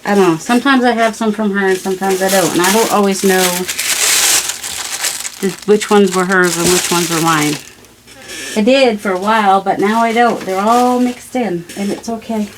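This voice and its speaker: A woman's voice: medium pitch, normal speed, noisy background. A woman hosting a craft video, chatting casually and rambling to herself with playful self-scolding while she works, over clicks and crinkling paper.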